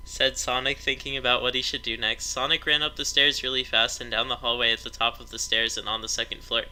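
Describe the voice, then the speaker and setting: A man's voice: mid-range voice, level tone, quick pace, bright, forceful tone, rough recording. A man narrating amateur fan fiction aloud in a mock-dramatic storytelling manner. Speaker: narrator of a story read-along.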